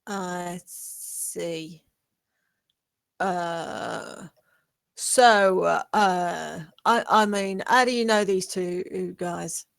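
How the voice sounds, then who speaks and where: Measured, polite, puzzled investigator played by a woman, unhurried pace, comedy script read. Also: on the low side, gravelly, full character voice. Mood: bemused. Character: patient, bemused police detective questioning suspects.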